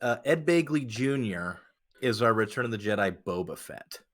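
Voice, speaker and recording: A man co-hosting a casual podcast, riffing along in a jokey, deadpan way. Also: fairly low pitch, moderate pace.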